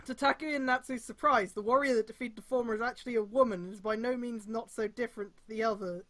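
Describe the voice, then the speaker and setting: A woman's voice: fairly high pitch, steady tone, fast, fairly bright tone. A woman reading fan fiction aloud on a stream, breaking off with exasperated mocking comments. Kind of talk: live dramatic read-aloud with mocking commentary.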